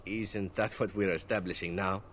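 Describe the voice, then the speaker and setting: A glib elf assassin. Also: male voice, sits low, fairly even intonation, moderate pace, a bit bright, a little hiss or hum. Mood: sly. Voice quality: smooth, playful and teasing. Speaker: game character. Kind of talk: video game voice acting.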